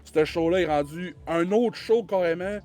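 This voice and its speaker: A man's voice: high-pitched, moderate pace, dark tone, soft background music. Rambling Quebec French man. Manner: rambling, many restarts and repeated words. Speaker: podcast guest. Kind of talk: casual conversational chat.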